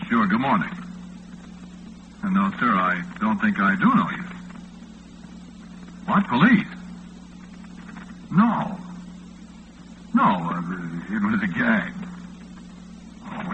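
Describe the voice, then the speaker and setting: An actor playing a polite, flustered young male disc jockey who stammers in bewilderment, in old radio drama style. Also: mid-range voice. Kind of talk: 1940s radio drama acting. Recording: tinny, noisy old radio recording.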